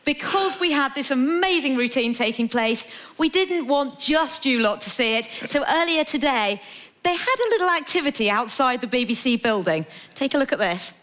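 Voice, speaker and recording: A woman's voice: sits high, animated, normal speed, fairly bright tone, a little hiss or hum. A woman hosting a live on-stage interview as the presenter. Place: theatre stage.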